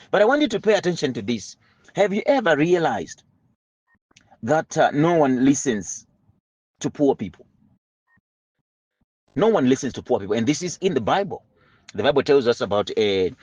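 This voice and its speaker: A man's voice: medium pitch, darkish tone, dry recording. A man giving a motivational lesson on money, earnest and building with preacher-like emphasis. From Zimbabwe. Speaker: money mentor.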